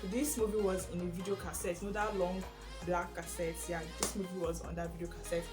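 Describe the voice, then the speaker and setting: A young Nigerian woman with an Igbo first language, chatting casually through a question tag video in a lively, rambling way. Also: on the low side, a little fast, music in the background. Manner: chatty and animated, with frequent fillers and laughs. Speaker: vlogger.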